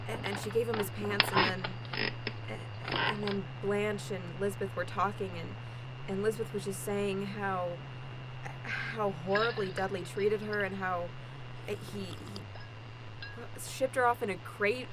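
An actress voices an anxious young woman fugitive in an improvised radio play. She stammers and rambles in a frazzled way. There is clear noise behind her. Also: mid-range voice, fairly even intonation, measured pace, lightly acted. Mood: agitated, rambling. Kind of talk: improvised old-time radio play acting.

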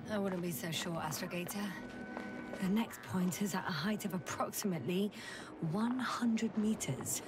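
A woman playing a focused scientist exploring an alien planet in a video game, measured and matter-of-fact with a dry edge. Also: mid-range voice, far from the mic, lightly acted. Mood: confirming, wry. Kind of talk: naturalistic game voice acting. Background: game music.

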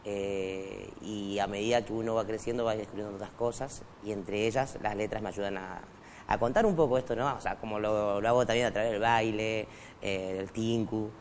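A man's voice: lowish voice, steady tone, moderate pace, slight background noise. A middle-aged man from Argentina, raised in Bolivia, whose first language is Quechua, talking as a guest writer in a TV studio interview on a lapel mic, with many fillers and restarts. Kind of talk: casual interview talk.

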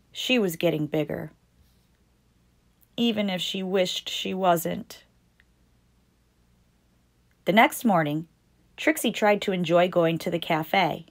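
Woman slowly reading a children's storybook. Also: on the low side. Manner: deliberate, expressive storytelling for young children. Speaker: children's storytime host and reader. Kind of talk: scripted picture-book narration read aloud.

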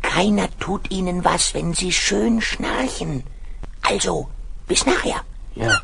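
Gemächliches, knarziges Zeichentricktier. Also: male voice, sits high, animated, slow, creaky, heavy background noise, heavily acted. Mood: reassuring, conspiratorial. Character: calm reassuring cartoon animal leading a guard team. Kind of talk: children's audio play acting.

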